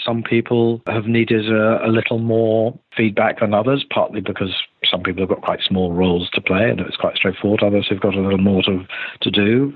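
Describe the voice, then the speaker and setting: A man's voice: low voice, husky. An older man, a theatre director, answers interview questions conversationally in a discursive way with many qualifications, over a remote call. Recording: tinny phone-like line, roomy echo.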